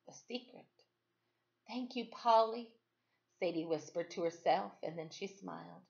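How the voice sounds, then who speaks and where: Expressive woman reading aloud, slightly dull recording. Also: on the low side, vocal fry. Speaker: storytime reader, teacher. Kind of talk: expressive read-aloud storytelling with brief asides to listeners.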